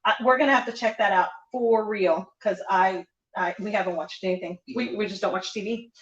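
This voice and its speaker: A woman's voice: mid-range voice, a little fast. A woman co-hosting a casual home livestream, laughing often as she reads viewer comments aloud. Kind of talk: casual chat. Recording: echoey room, slightly dull sound.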